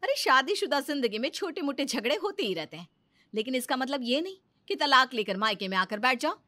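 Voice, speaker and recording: A woman acts a bitter, nagging sister-in-law in a moral story, scolding with sarcasm. The voice is high and piercingly bright, swinging widely in pitch. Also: big pitch swings, brisk, exaggerated voice. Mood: complaining.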